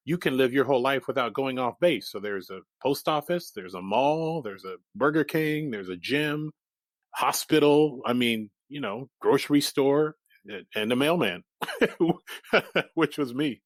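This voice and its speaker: A man in his forties, a startup founder guest, telling his life story in an interview with a reflective, easygoing manner and quick laughs. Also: medium pitch, no room sound. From raised in Germany, Japan and Iowa. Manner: reflective, laughs easily, animated quoted asides.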